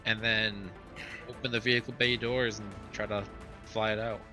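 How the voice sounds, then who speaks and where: A man's voice: on the low side, flat delivery, normal speed. A man chatting casually as a player in a tabletop roleplaying game. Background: background music.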